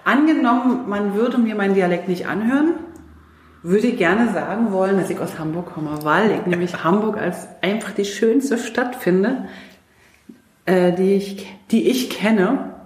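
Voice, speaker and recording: A woman's voice: medium pitch, normal speed, a little mellow, reverberant. A woman from East Germany hosting a casual interview, with a teasing, playful way of talking. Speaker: podcast host.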